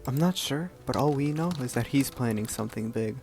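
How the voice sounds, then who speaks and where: A steady, fatherly police commissioner acted by a woman in a superhero radio play. The voice is extremely low for a woman, with faint music behind it. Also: a little fast. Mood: evasive, shaken.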